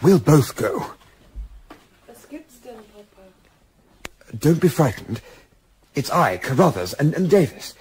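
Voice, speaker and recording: An actor in a radio play playing a brisk, resolute young English gentleman adventurer with a clipped, formal, self-assured manner. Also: fairly high pitch, sing-song, breathy edge, exaggerated voice. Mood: brisk, courteous.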